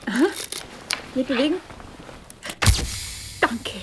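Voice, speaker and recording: A woman's voice: high voice, slow, noisy background. An actress playing a young woman photographer in a radio drama, keen and agreeable. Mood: focused, directing. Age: young adult.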